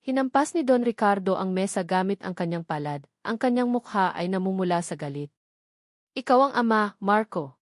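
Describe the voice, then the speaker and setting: A woman storyteller delivers scripted romantic drama with emphasis, narrating in a dramatic manner. Her voice has a middling pitch and sits in a dry, close sound with no room around it. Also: expressive, fairly quick, hardly any pauses, darkish tone, dry recording.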